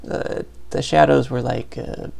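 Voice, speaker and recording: A man hosting a let's play, casually explaining a game in a hesitant, low-key way. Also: steady tone, unhurried, gravelly, a little mellow. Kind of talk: casual game commentary, reading on-screen text aloud. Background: game music.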